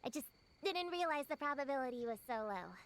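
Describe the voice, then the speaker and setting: A woman's voice: high-pitched, animated, fairly quick, very noisy. A woman voicing an eager, stubborn young girl investigator in a video game, earnest and a bit self-important. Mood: insistent.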